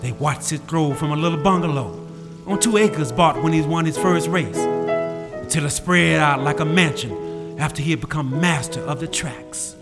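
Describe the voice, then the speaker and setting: A solemn male storyteller with a slightly high voice, narrating in a measured, evocative way. Clear music and rain play beneath his voice.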